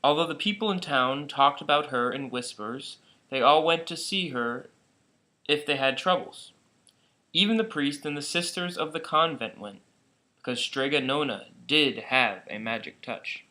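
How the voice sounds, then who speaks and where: A man reads a children's story aloud, plainly and a little haltingly. His voice sits at a middle pitch with a very bright, forceful tone. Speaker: storybook reader.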